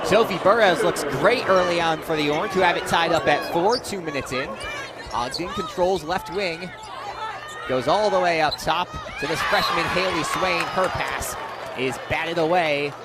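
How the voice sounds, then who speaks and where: Young man, sports play-by-play, energetic delivery, fairly high pitch, heavy crowd and gym noise. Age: young adult. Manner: energetic, shouts on big plays. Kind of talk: live basketball radio play-by-play.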